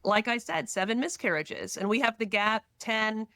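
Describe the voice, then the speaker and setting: A pregnant woman from Texas, a podcast guest who talks in quick, animated bursts and tells stories with dramatic asides. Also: on the high side, creaky, no echo. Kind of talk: casual faith-centered conversation.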